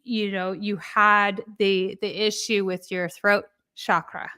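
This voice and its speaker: A woman hosting a podcast interview, affirming her guest with drawn-out phrasing. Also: medium pitch, little pitch movement, sharp tone, clear, resonant tone. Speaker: podcast host. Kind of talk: supportive interview chat.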